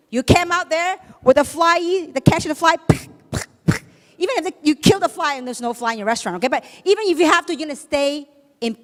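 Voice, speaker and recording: A woman whose first language is Chinese gives an emphatic motivational seminar talk, throwing rhetorical questions at a live audience in a large hall. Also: high-pitched. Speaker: speaker at a business seminar. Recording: long reverb of a large hall.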